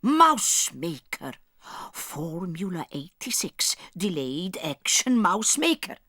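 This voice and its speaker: Husky, scheming old grandmother. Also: on the low side, sing-song, slow pace, sharp tone, dry recording, studio quality, heavily acted. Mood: determined. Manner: dramatic, conspiratorial, relishing. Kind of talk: audiobook full-cast drama acting.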